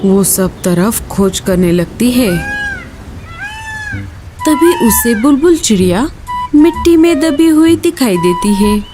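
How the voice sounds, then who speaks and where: A woman's voice: on the high side, very animated, slow pace, pauses often, music in the background. A woman storyteller reading a scripted children's story.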